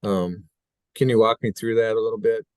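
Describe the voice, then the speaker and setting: A man's voice: on the low side, fairly even intonation, moderate pace, a little mellow, clear, resonant tone, no echo, studio quality. A man interviewing a guest, asking brief questions with some hesitation.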